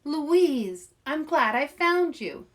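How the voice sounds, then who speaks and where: Woman as grumbly, loyal, animated little animal guy. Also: high-pitched, slow, voice pushed up, exaggerated voice. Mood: kindly. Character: grumbly but loyal young male animal friend.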